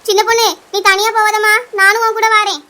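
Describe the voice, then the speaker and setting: A woman's voice: very high voice, level tone, sharp tone. A woman playing an anxious village mother. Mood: urgent. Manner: urgent, anxious, fast-talking. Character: worried village mother, warm elder sister figure. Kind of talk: amateur audio drama.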